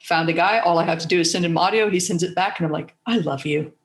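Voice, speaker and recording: Animated podcast host in an echoey room. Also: mid-range voice, fast. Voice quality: soothing voice. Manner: animated, candid, casual swearing. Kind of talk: casual conversation.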